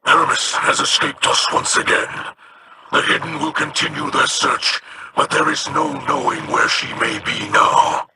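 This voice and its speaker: A man voicing a stern, weathered alien captain in a video game, speaking formally and deliberately with a gravelly voice. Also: a little high, heavy background noise, close to an everyday voice. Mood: grave, urgent. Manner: slow, formal, deliberate speech. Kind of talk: video game character acting.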